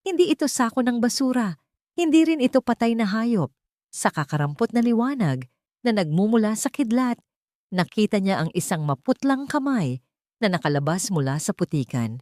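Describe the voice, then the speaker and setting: A woman's voice: fairly high pitch, very animated, moderate pace, darkish tone, dry recording, studio quality. A woman narrating a dramatic story, her voice rising with emotion at tense moments.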